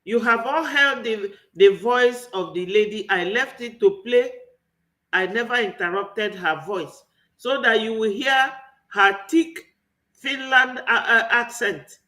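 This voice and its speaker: Nigerian man, high bright voice, impassioned preaching tone, political commentary. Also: slow pace. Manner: impassioned, preaching, full of rhetorical questions. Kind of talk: online political commentary monologue.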